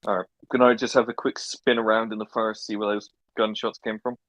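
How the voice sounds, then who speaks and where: A man's voice: lowish voice, flat delivery, a little fast, fairly bright tone, dry recording. A man from Wales playing a tabletop game, talking hesitantly with many restarts. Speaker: player. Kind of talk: tabletop game play over voice chat.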